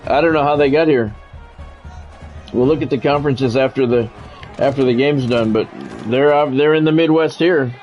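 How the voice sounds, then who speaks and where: A man's voice: medium pitch, normal speed, highs cut off, soft background music. A man livestreaming a video game, giving casual commentary and chatting with viewers in a repetitive, explanatory way.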